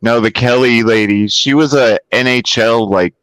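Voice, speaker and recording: A man co-hosting a podcast, chatting casually with dry deadpan jokes. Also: mid-range voice, normal speed, smooth, dry recording, rough recording. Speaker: podcast co-host.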